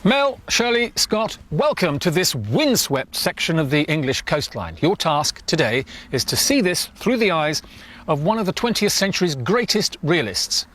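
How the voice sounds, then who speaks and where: A man teaching painting and presenting outdoors on a windswept coast, explaining at length with drawn-out emphasis. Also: a little high, very animated, fairly bright tone.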